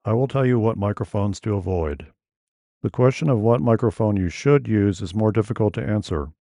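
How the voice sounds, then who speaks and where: A man with a New England accent reads a carefully scripted tutorial in a flat, monotonous delivery. His low voice is mellow and warm in tone. It is recorded very dry and close, with no room sound. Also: no echo. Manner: measured, formal, deliberate delivery. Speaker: tech educator. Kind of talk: scripted explainer narration.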